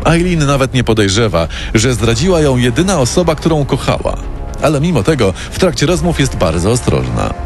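A man's voice: mid-range voice, very animated, brisk. A man narrating a scripted true-crime radio story in a dramatic storytelling manner. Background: prominent music bed.

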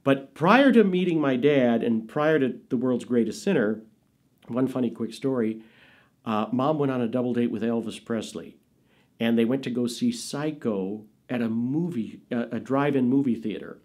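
Lively storytelling middle-aged man. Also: medium pitch, normal speed. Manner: animated, expressive storyteller. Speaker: interviewee. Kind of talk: casual storytelling.

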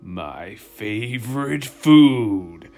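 A big lumbering monster from a storybook, acted out by a storyteller, slow-witted and easily impressed. The words are dragged out very slowly, over music. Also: mid-range voice, expressive, a little mellow. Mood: menacing. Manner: slow, drawn-out delivery.

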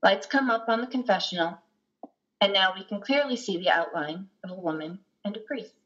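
A woman's voice: medium pitch, expressive, moderate pace, fairly bright tone, highs cut off. A middle-aged woman reading scripted narration and stage directions for a play over a video call. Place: lamp-lit home room, video call. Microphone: computer mic on video call.

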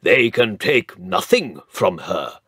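A man's voice: fairly low pitch, very animated, takes it slowly, a bit bright, husky, no room sound, clean studio sound, full character voice. A man reading as a stern old earl, curt and firm. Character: stern old earl softening toward his grandson.

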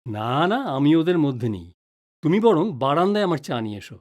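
A woman's voice: low-pitched, very animated, fast talker, darkish tone. A woman playing a wary, joking middle-aged father in an audio drama, with a teasing, exclamatory manner. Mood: brusque. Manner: teasing, mock-pompous, exclamatory.

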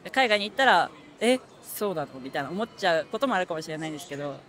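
A woman's voice: on the low side, lively intonation, racing, a bit bright. A young woman in her twenties answers casually and rambles with fillers into a handheld mic on a street. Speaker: interviewee. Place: city street outdoors. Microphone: handheld mic held by interviewer.

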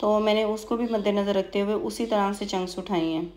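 A woman beautician explaining a technique in an instructional voice-over, hesitant, with frequent pauses. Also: mid-range voice, fairly even intonation, a little mellow. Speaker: beautician giving a hair tutorial.

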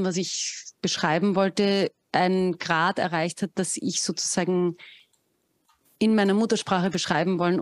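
A woman's voice: fairly low pitch, dry recording. A woman in her thirties, a musician guest on a podcast, speaking thoughtfully and searching for words over a video call. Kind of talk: casual interview chat. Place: home room with bookshelves, video call.

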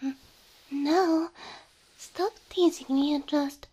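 A woman performing an ASMR roleplay as a gentle, doting cow-girl girlfriend, speaking softly and tenderly into a close microphone. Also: sits high. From Slavic Eastern Europe. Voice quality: soft, breathy. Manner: tender, slow, coaxing.

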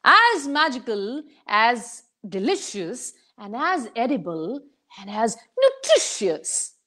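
Woman doing a wily traveler for a told story, showy and announcing, slow and lively. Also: a little high, full character voice. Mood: grand, boastful. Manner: grand, announcing. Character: clever hungry male traveler, showman cook.

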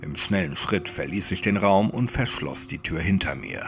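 A man narrating a scripted first-person horror story like an audiobook, in a measured, suspenseful manner. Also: low voice, expressive, vocal fry, fairly bright tone, slight background noise.

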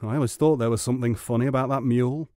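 A man's voice: mid-range voice, steady tone, racing, dark tone, dry recording, clean studio sound, natural voice. A man voicing a gullible, bad-tempered rustic muleteer in a storybook, exclaiming and stammering. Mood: puzzled. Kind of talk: storybook character reading.

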